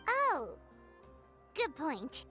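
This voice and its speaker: Casting: excitable childlike fairy companion for a game, piercingly bright and squeaky, music underneath. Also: female voice, very slow, exaggerated voice. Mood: chatty. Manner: perky, exclamatory. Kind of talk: video game voice acting.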